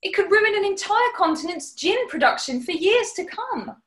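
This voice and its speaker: Woman playing a very high-voiced, prim British housemaid. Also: big pitch swings, normal speed, fairly bright tone, heavily acted. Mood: earnest, prim. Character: plucky, earnest British housemaid turned amateur sleuth. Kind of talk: 1940s radio play acting.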